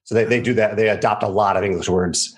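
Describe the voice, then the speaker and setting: A man's voice: fairly low pitch, steady tone, quick pace. An American man being interviewed over a video call, easygoing and laughing often. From United States. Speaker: guest, game designer. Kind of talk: casual interview chat.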